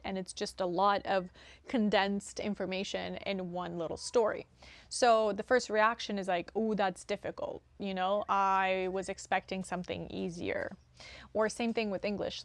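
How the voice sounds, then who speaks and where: A woman's voice: medium pitch, no room sound. A young woman from Moldova with a Romanian accent, chatting to camera as a vlogger, with many "you know" and "right" fillers. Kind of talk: casual talk to camera.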